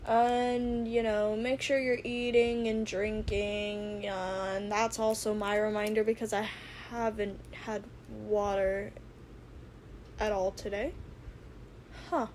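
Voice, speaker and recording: A woman's voice: mid-range voice, little pitch movement, slow pace, a little mellow. A woman narrating fan fiction as an amateur reader, informal in manner, on a noisy home recording.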